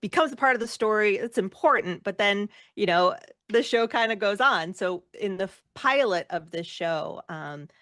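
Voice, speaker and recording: A woman's voice: medium pitch, expressive, moderate pace, a bit bright, no echo, clean studio sound. A woman chatting casually as an interview guest, effusive and informal in the way she talks. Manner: effusive, informal, emphatic about loving the work. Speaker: guest, actor and former rock singer.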